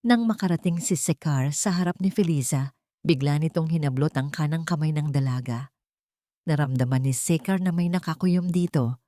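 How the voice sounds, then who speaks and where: Lowish-voiced woman narrating a dramatic audiobook. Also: normal speed, dark tone, no echo, clean studio sound. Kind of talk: dramatic scripted story narration.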